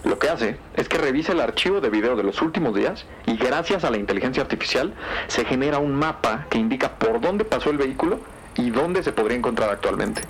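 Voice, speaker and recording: An actor playing an efficient, helpful police tech analyst in a crime audio drama, giving precise explanations. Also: male voice, mid-range voice, animated, fast talker, lightly acted, rough recording. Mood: businesslike. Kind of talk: scripted crime drama acting. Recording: studio drama with faint music.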